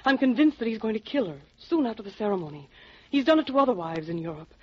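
An actress playing an anxious, genteel widow, earnest and dramatic, in a 1940s radio detective drama. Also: female voice, a little high, very animated, quick pace, breathy edge. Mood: worried, pleading. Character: anxious, genteel widowed female client pleading for help. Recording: muffled old radio broadcast recording.

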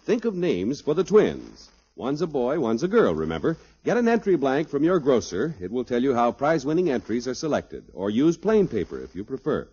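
Husky, hugely animated American radio announcer man. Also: medium pitch, fairly quick, hardly any pauses. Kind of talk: 1950s radio advertisement announcing. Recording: dull vintage 1950s radio recording.

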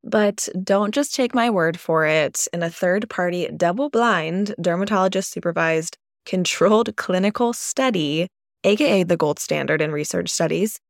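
A woman gives a scripted ad testimonial in a chatty, persuasive way. Her voice is a little low for a woman, and the recording is dry and close. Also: gravelly, no room sound. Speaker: ad testimonial speaker.

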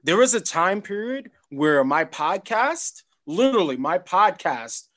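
Male podcast host, higher-pitched voice, loose rambling delivery. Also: sing-song, a little slow, fairly bright tone. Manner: rambling, conversational, repeats himself. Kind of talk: casual interview chat.

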